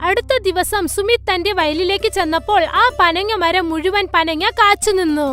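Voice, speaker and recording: A woman's voice: very high voice, moderate pace, a bit bright. A woman narrating a scripted children's fairy tale as storyteller. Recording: faint music under the voice.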